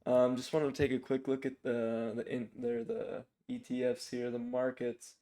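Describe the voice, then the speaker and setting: Flat-toned man, raspy from a sore throat. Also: mid-range voice, normal speed. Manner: informal, hesitant, thinking aloud. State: raspy, sick with strep throat. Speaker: stock trading channel host. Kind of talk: casual, unscripted stock watchlist commentary.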